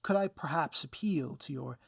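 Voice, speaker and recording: A man playing a gloating, melodramatic supervillain mastermind in an audio roleplay, with grandiose, drawn-out emphasis. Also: a little high, vocal fry, husky, no echo, clean studio sound. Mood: theatrical, pleading. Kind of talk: audio roleplay villain acting.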